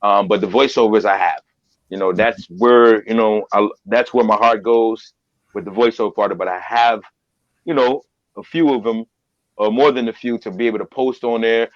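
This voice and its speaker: A man's voice: lowish voice, fairly even intonation, normal speed. A man, a voiceover artist and business owner from a Trinidadian family, talking in a casual interview and repeating phrases for emphasis. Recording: dull lo-fi sound.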